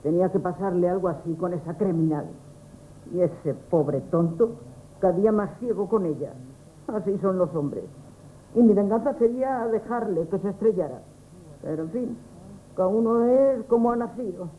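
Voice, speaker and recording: A woman's voice: mid-range voice, moderate pace, warm tone, close to an everyday voice, low-quality recording. An actress playing a shrewd older neighbor woman who plots to save a family, in a 1970s radio play. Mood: urgent. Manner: urgent, conspiratorial. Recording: old radio broadcast with faint hiss.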